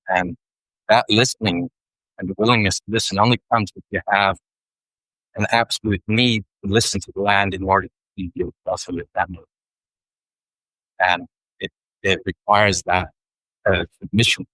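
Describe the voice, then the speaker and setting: A middle-aged man from Sweden with a Swedish accent, speaking English as a guest in a thoughtful conversation, pausing often and restarting his phrases. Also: low voice, fairly bright tone, no echo, low-quality recording. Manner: thoughtful, unhurried, with frequent pauses and restarts. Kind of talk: reflective interview conversation.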